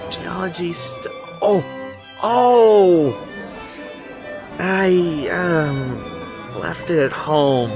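A woman playing an anxious, flippant teenage schoolboy in an audio drama, stammering and excitable. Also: lowish voice, extremely slow, pauses often, music in the background. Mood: flustered, casual. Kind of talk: scripted audio drama acting.

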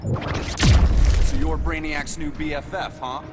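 An actor playing a cocky speedster superhero in a video game, with a breezy, casual, taunting delivery. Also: male voice, a little high, little pitch movement, slow. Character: cocky, quick-witted male speedster superhero. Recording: loud music over the speech.

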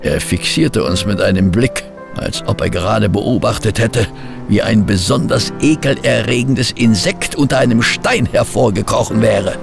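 An actor playing an expressive, fussy gentleman who narrates a detective case in a radio play. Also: on the low side, moderate pace, creaky, music in the background. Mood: atmospheric narrating. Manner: literary, expressive storytelling.

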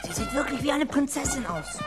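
A man's voice: very high voice, huge pitch swings, brisk, loud music behind the voice, heavily acted. A male actor playing a boastful, eager young boy in a 1970s children's radio play, emphatic and naively cocky. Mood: awed boasting.

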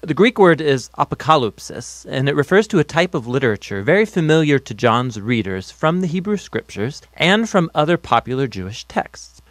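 Guy narrating an explainer, scripted and clear teaching style, dry studio voiceover. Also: medium pitch, dry recording. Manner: articulate, instructive.

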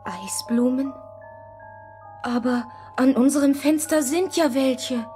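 A small girl in a fairy-tale record drama. Her voice is high and slow-paced, childlike and affectionate, a little imperious at play. Music plays clearly behind her. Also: mellow. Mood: curious. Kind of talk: German fairy-tale audio drama acting.

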